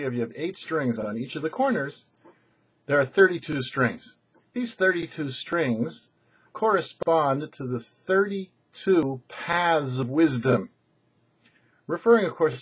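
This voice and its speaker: A man giving a short prepared religious teaching as a rabbi, in measured, emphatic phrases with pauses. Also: mid-range voice, husky. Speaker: rabbi, show presenter.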